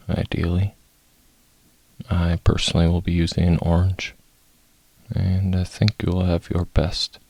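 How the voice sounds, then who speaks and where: A man leading a guided meditation, soft-spoken and sometimes breathy, in short phrases with long pauses. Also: low voice, dry recording.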